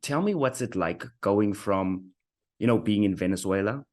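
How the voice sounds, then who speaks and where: A man's voice: lowish voice, normal speed, a little mellow. A man hosting a marketing interview show, upbeat, hyping up his guest and often stumbling over his words. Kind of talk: casual interview chat.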